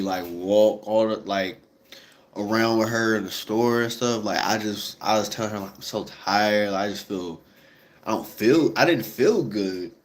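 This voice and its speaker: A congested young man chatting casually and rambling to his viewers, full of slang and fillers. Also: lowish voice. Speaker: vlogger.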